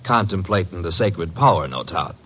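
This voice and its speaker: An actor playing a detective's slangy, sarcastic assistant who also narrates the case in an old radio drama. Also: male voice, sits low, animated, normal speed, a bit bright, husky, full character voice. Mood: sarcastic, protesting. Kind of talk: 1950s radio drama acting.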